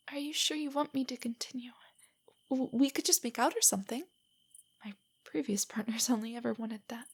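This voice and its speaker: A woman playing a nervous, nerdy young girlfriend in an intimate roleplay audio drama, soft and whispery, with hesitant, stammering speech. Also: on the high side. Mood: shy, affectionate. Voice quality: soft, breathy, often whispery. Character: shy, nervous, nerdy young girlfriend, self-doubting and affectionate.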